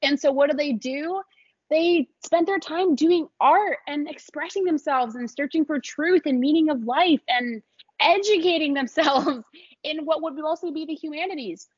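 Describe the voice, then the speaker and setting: A woman's voice: high-pitched, moderate pace, no echo, dull audio. A young adult woman, a small business founder, talking casually as an interview guest, chatty and laughing often with many fillers.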